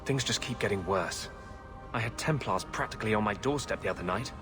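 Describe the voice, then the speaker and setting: A man's voice: fairly low pitch, brisk, music in the background, close to an everyday voice. A man playing a brooding, bitter rebel mage healer in a video game, earnest and weary. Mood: anxious.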